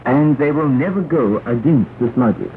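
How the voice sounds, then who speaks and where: A man's voice: medium pitch, moderate pace. A man announcing on a 1960s shortwave propaganda broadcast, reading solemnly and with declamatory emphasis. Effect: shortwave radio. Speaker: radio announcer. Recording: narrow, noisy 1960s shortwave radio recording.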